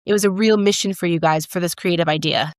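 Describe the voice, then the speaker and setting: A woman's voice: fairly low pitch, quick pace, no echo, clean studio sound. A woman speaking as a podcast host, giving scripted introductions and asking interview questions.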